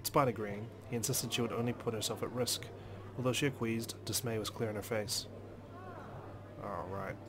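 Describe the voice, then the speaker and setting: A man's voice: on the low side, fairly even intonation, normal speed, noisy background. A man hosting a let's play, reading a visual novel's story aloud as dramatic, brooding narration.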